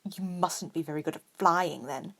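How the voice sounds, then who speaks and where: A woman's voice: on the low side, normal speed, a bit bright. A woman voicing a spoiled, snobbish eleven-year-old wizard boy with a lazy drawl in a story reading. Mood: derisive, curious.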